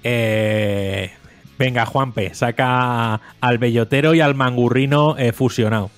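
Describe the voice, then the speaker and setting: A man hosts a relaxed gaming chat, cracking jokes and laughing now and then. His voice sits at an everyday middle pitch. Faint music runs underneath. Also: slow pace, smooth. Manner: joking, laughs often. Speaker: podcast host. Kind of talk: casual chat.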